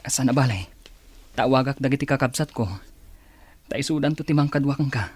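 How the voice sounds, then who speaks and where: A man's voice: mid-range voice, brisk, slightly rough, close to an everyday voice. A man with an Ilocano Filipino accent playing an evasive grown son, a busy young professional, in a radio drama.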